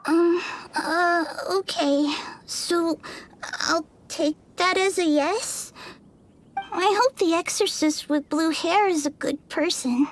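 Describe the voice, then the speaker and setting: Small ghost girl, very high voice, slow hesitant stammer, frightened, pleading, game character. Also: a little hiss or hum, poor audio. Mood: hesitant, hopeful. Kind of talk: video game voice acting.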